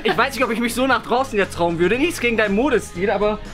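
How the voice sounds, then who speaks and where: A young man hosting a casual clothes-swap video in a bright indoor room, joking and mocking himself as he talks. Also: high-pitched, fast talker. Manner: joking, self-mocking banter. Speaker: channel host. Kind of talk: casual challenge video chat. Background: music.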